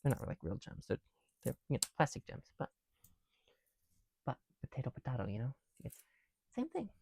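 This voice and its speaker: A man's voice: medium pitch, wildly swooping pitch, normal speed, gravelly, darkish tone, breathy edge, dry recording. A man making a casual ASMR video, chatting in a self-deprecating way with small asides as they go. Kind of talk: ASMR, casual chat while building bricks. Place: on the bedroom floor at night.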